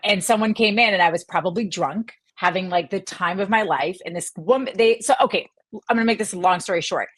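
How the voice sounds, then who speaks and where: A woman's voice: mid-range voice, a little fast, slightly rough, no room sound, clean studio sound. A woman in her forties from Wisconsin, a sports journalist guest, telling stories with lots of laughs. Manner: animated storytelling, laughs often. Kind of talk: casual chat.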